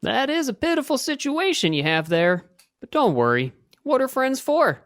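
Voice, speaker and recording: A woman's voice: high-pitched, big pitch swings, normal speed, voice pushed up. A woman voicing a frank, plain-spoken male friend in a children's story, with emphatic delivery. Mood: reassuring.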